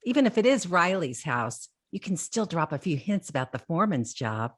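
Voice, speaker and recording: An actress playing a conniving, adoring working-class wife in old-time radio sitcom style. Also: female voice, fairly low pitch, big pitch swings, normal speed, husky. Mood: nudging.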